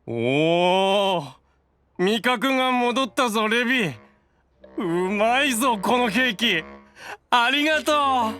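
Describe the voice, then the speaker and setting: A man's voice: very high voice, lively intonation, slow, sharp tone, soft background music, exaggerated voice. A man playing an earnest, gluttonous young demon brother in an anime audio drama, sincere and plaintive. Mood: overjoyed.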